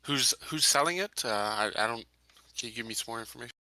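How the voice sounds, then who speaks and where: A man's voice: mid-range voice, fast, pushed and bright, no room sound, poor audio. A man talking casually and tentatively as a player in a tabletop roleplaying game.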